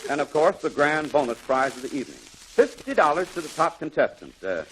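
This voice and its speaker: Jovial, high-voiced radio quizmaster. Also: male voice, big pitch swings, brisk. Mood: bantering. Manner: jovial, corny, chuckling. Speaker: quiz show host. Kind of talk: 1940s radio quiz show patter. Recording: faintly noisy 1940s radio recording.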